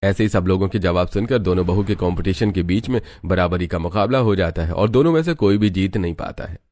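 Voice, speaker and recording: A man's voice: low voice, brisk, darkish tone. A man narrating a scripted family story as its storyteller.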